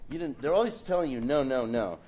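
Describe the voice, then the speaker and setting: A man on a discussion panel telling a lively personal story in a casual, animated way. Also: medium pitch, fast talker, a little hiss or hum.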